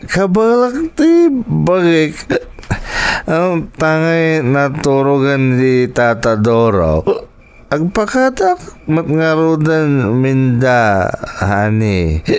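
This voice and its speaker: A man plays a stubborn young husband in an Ilocano radio-style drama. His voice sits a little high for a man. He is a hard drinker and speaks with pleading, defensive feeling. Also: very animated, extremely slow, clear, resonant tone, full character voice. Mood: drunken, drawling.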